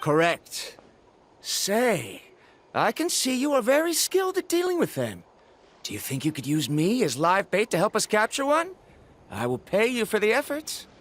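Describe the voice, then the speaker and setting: An actor playing a single-minded, socially awkward researcher in an anime video game, speaking formally and bluntly. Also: male voice, high voice, huge pitch swings, moderate pace, a bit bright, heavily acted. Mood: blunt, intent. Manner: formal, blunt, stiffly polite.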